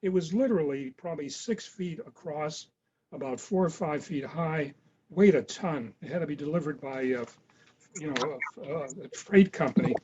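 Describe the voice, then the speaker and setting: A man's voice: on the high side, a little mellow. An older man, a club member, casually reminiscing on a video call and piecing details together as he goes. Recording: dull, noisy video-call audio.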